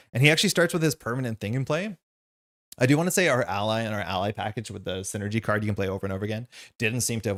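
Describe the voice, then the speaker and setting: A man whose first language is Slovenian, hosting and chatting casually and ramblingly while playing a card game. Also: on the low side. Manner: chatty and rambling, with frequent fillers and asides. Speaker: host.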